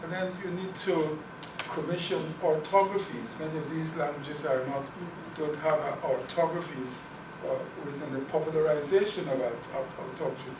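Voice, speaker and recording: A man's voice: fairly high pitch, fairly even intonation, moderate pace, few pauses. Earnest man in an echoey lecture room. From Guyana. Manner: earnest, repeats words while thinking. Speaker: guest speaker on Caribbean languages. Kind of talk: academic talk and Q&A. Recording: echoey room, distant mic, noisy.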